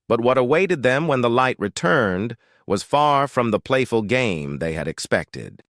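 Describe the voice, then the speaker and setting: A man's voice: mid-range voice, very animated, measured pace, a bit bright, no room sound, studio quality. A man narrating a suspenseful mystery story in a dramatic, scripted style.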